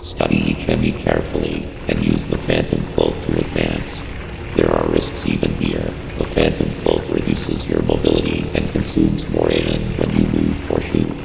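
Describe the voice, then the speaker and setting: A man's voice: very deep voice, monotone, exaggerated voice. A man voicing a cold, clinical computer AI advisor in a video game, giving formal, impassive briefings with synthetic robotic processing. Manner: measured, impassive, formal, authoritative. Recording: echoey long reverb, clear background noise.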